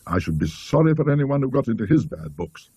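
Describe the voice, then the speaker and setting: Guy doing a dry british gentleman host in an old story, husky mellow voice. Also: medium pitch, very animated, brisk, no echo. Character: measured, wry gentleman host at a dinner table.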